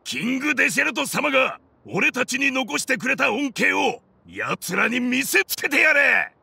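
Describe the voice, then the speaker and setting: An actor playing a proud, resolute middle-aged desert militia leader in a game, with a grave, dramatic, defiant delivery. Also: male voice, very high voice, big pitch swings, moderate pace, gravelly, sharp tone, slightly rough, no echo, full character voice. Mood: commanding. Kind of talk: Japanese game voice acting.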